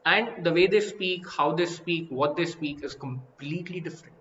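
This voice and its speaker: A young man with an Indian English accent teaches an IELTS lesson live, animated, asking "right?" and "okay?" again and again. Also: a little high, normal speed. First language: Hindi. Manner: animated, repeats and rephrases, asks "right?" and "okay?" often. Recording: echoey room, slightly dull sound, faint hiss.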